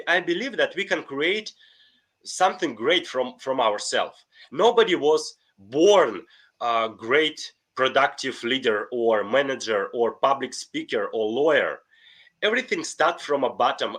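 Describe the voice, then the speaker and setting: A man's voice: medium pitch, animated, moderate pace, fairly bright tone. A middle-aged man with a Russian accent, speaking earnestly and enthusiastically as a podcast guest, often restarting his sentences. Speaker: guest, life coach. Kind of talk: podcast interview.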